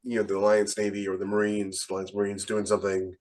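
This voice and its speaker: A man's voice: lowish voice, completely flat delivery, quick pace, a little mellow. A man who is a podcast host and military veteran, talking casually in a rambling way and often restarting his phrases. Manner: rambling, repeats phrase starts. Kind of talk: casual podcast conversation with anecdotes.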